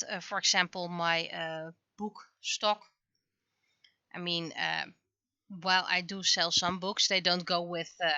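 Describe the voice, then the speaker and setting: A woman chatting casually on a livestream as an artist at work, speaking haltingly as she thinks aloud. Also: fairly low pitch, pushed and bright, dry recording, lo-fi sound.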